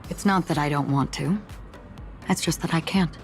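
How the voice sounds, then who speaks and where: An actress voicing a calm, enigmatic wandering swordswoman in a video game, measured, soft-spoken and reflective. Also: sits low. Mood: quiet, earnest. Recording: music behind the voice.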